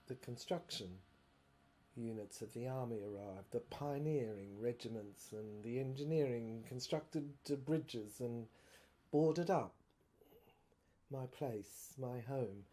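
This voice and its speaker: A woman playing an obsessive, haunted inventor shut away by soldiers in an audio drama monologue, speaking in a halting, rambling, theatrical way with repeated words. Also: a bass voice, dark tone, exaggerated voice.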